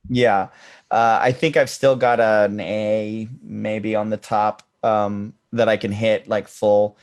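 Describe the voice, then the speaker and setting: Hesitant man with a deep voice. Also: little pitch movement, slow, clear, resonant tone. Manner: hesitant, full of ums and likes. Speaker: podcast co-host. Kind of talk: casual chat.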